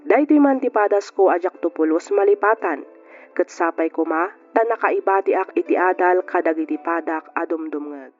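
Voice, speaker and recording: An actress playing a regretful young woman who tells her life story in an earnest, measured way, with an Ilocano accent, in old radio drama style. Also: fairly low pitch, lightly acted. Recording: tinny narrow-band radio, music behind.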